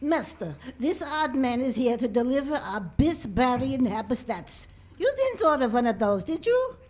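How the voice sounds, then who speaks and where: A woman voicing a grumbling, disfigured female lab assistant with a sullen, deadpan, blunt manner. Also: high-pitched, normal speed.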